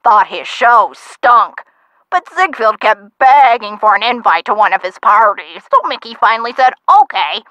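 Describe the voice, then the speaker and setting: A woman's voice: on the high side, pushed and bright, no room sound, rough recording. An actress playing a feisty older woman, a gangster's chatty former sweetheart in a video game, with theatrical, folksy old-time slang. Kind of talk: video game character dialogue.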